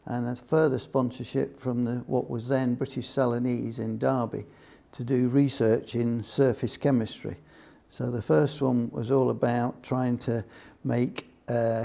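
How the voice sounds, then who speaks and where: An older man from Scotland recounting his working life in an oral history interview, measured and explanatory, often restarting his sentences. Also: mid-range voice, gravelly, dark tone. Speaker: interviewee, former computing employee.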